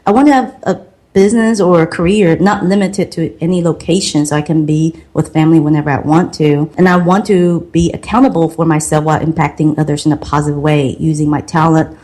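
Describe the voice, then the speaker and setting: Middle-aged woman, Taiwanese accent, second-language English, slightly low voice, earnest storyteller. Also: steady tone, dark tone. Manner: earnest, reflective, slow and careful. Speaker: guest, marketing consultant. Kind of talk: podcast interview storytelling.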